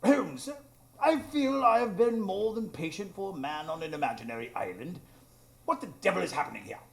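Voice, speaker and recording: A formal gentleman storyteller for radio drama. His voice sits a bit high and swings widely, with a gravelly creak under the dry, exasperated manner. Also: lively intonation, moderate pace. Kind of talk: radio drama narration and dialogue.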